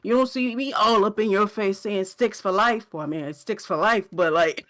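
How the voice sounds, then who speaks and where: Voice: mid-range voice, normal speed, sharp tone. Podcast co-host, casual gaming chat, informal, hesitant phrasing, mid-range voice.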